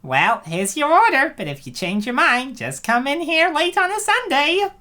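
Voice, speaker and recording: Performer doing a high, friendly fast food server. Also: very animated, pushed and bright, full character voice. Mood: coaxing. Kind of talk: amateur comic dub voice acting.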